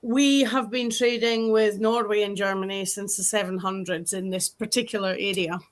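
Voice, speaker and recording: A woman's voice: medium pitch, fairly even intonation, moderate pace. A woman with a northeast Scottish accent, chatting on a podcast and explaining history and local dialect. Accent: Scottish, northeast coast. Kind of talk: casual group chat.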